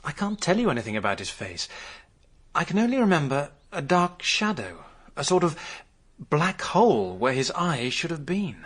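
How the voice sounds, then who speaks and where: Muddled, artless witness. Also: male voice, fairly high pitch, big pitch swings, fairly bright tone, breathy edge, full character voice. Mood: uneasy recollection. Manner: vague, artless, halting. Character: muddled, unhurried boozy male witness, plainspoken. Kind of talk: radio drama acting.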